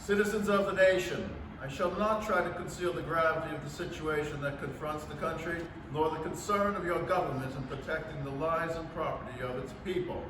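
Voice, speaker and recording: A man playing a solemn government official giving a formal, measured national address in a staged radio drama reading. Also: on the high side, natural voice. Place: library room, staged reading filmed from a distance. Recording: echoey, noisy hall from far off.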